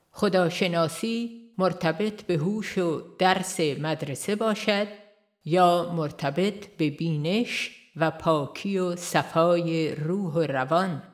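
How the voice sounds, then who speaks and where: A narrator reading a religious audiobook in a formal, measured and solemn manner. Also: medium pitch. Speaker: audiobook reader.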